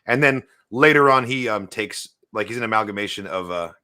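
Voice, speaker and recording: Bright-voiced New Zealand man chatting. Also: lowish voice, a little fast. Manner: enthusiastic, laughs often, fillers. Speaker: podcast host. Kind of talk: casual chat.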